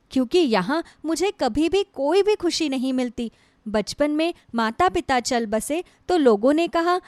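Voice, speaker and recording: Long-suffering young wife, storybook tale, acted by a woman: high voice, despairing, plaintive, dry studio sound. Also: no room sound. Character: sorrowful, long-suffering young wife pouring out her grief. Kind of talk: Hindi moral storybook voice acting.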